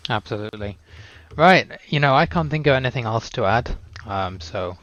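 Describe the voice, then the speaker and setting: A man's voice: mid-range voice, lively intonation, moderate pace, a bit bright, dry recording, highs cut off. A man hosting a casual online round table, speaking in a friendly, affirming, rambling way.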